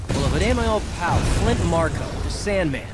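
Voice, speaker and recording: Young wisecracking superhero, sarcastic, very animated delivery, loud music behind, video game. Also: male voice, high voice, moderate pace. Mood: cocky teasing. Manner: sarcastic, quippy. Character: wisecracking young male superhero, cocky and playful.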